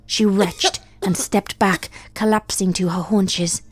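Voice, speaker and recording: Woman narrating a dark story, measured. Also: medium pitch, expressive, husky, dry recording. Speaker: fanfic reader.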